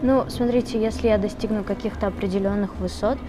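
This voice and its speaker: Young singer chatting over traffic noise. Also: female voice, medium pitch, fast talker. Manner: relaxed, chatty, switches between Russian and Tatar, many fillers. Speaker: singer being interviewed. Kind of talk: casual interview answers. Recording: noisy background with traffic.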